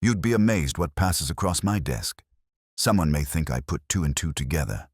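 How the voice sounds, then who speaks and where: A man's voice: extremely low pitch, lively intonation, moderate pace, husky, no room sound, clean studio sound, exaggerated voice. A man voicing a self-important, knowing female librarian in a storybook mystery.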